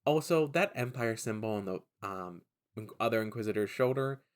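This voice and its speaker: Male host, stuffy gravelly voice, lowish, chatty opinionated rambler. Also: lively intonation, normal speed. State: stuffy from a cold. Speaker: channel host. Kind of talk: casual hobby commentary.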